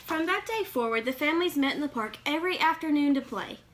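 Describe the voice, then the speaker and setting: A woman's voice: high voice, expressive, quick pace, fairly bright tone. A woman reading a children's picture book aloud expressively, with questioning asides to young listeners. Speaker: read-aloud reader.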